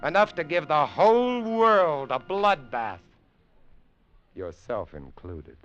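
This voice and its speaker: A man's voice: a little high, big pitch swings, slow pace, music in the background. A sneering, unhinged man. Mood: menacing. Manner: mocking, laughing, wild swings from mutter to shout. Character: menacing middle-aged man, sneering and unhinged. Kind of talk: 1940s radio acting. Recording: dull old radio recording.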